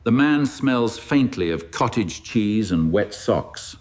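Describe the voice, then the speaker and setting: A man narrating a scripted second-person story in a deadpan manner. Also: lowish voice, takes it slowly.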